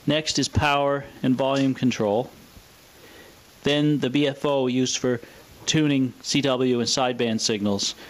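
A man presenting a scripted, explanatory product demonstration in a measured, matter-of-fact way. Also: medium pitch. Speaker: presenter of a vintage radio showcase video.

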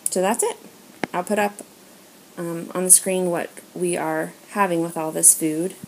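A woman with an American accent and a fairly low voice talks casually, like a home vlogger showing things to the camera. Her delivery is informal and chatty. The handheld recording has clear background noise. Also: steady tone, unhurried. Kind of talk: casual haul voice-over while filming. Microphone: handheld camera or phone, filming items. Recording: noisy handheld amateur recording, clicks.

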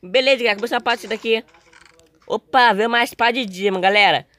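Slightly hoarse young Brazilian male gamer. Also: high voice, fast, noisy background. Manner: informal, slangy. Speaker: gaming video host. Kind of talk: casual gameplay commentary.

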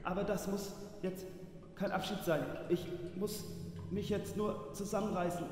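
A man's voice: high voice, flat delivery, measured pace, darkish tone, soft background music. A man playing an earnest, pompously nice superhero in a comic radio play, with declamatory heroic delivery. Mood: determined. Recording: very echoey hall, faint noise.

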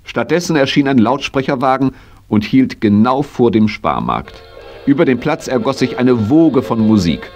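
A man acting as the narrator of a children's radio play, telling the tale in scripted storytelling style. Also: mid-range voice. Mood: vivid.

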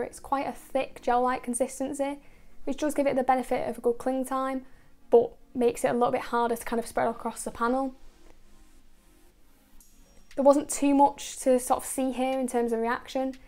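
Woman doing a car detailing voiceover, kinda high voice but really flat, quiet music under it. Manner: explanatory, methodical, product-focused. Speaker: car detailing channel host. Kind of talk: voice-over narration of a car wash.

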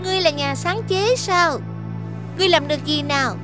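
A woman's voice: high voice, brisk, music in the background, lightly acted. A woman playing a domineering female figure in a children's cartoon, with a theatrical, scolding delivery. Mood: haughty, mocking. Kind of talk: animated children's cartoon dubbing.

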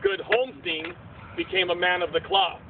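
A man playing a storybook bard, narrating a puppet show in measured, declamatory verse. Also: sits high. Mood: solemn. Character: storybook bard narrator telling a seaside legend. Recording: tinny outdoor recording, faint noise.

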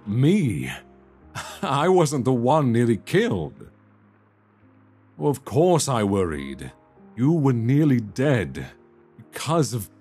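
A man acts out an anguished young prince in a romantic audio drama. His voice is husky and lowish, moving slowly and haltingly with wide swings of feeling, earnest and tender as a worried lover. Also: a little mellow, exaggerated voice. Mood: tender, guilty. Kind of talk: romantic audio roleplay, ASMR-like acting.

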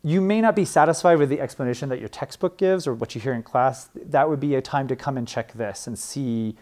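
A man's voice: mid-range voice, a little fast, a little mellow. A young man, a librarian, teaching a class in a casual, chatty way with frequent fillers and restarts. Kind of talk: informal classroom talk.

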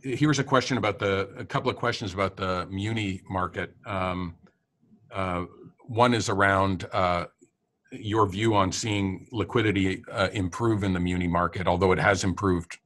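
A deep-voiced older man moderates from a conference room, picked up by a camera some distance away. He reads questions out haltingly and pauses often with fillers. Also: steady tone, fairly bright tone. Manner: hesitant, many fillers. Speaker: interviewer, dean and professor. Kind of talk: moderating a conversation, reading audience questions.

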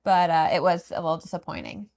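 A woman co-hosting a travel vlog, giving a casual review in an easygoing, measured and diplomatic way. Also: on the low side, lively intonation, creaky, darkish tone.

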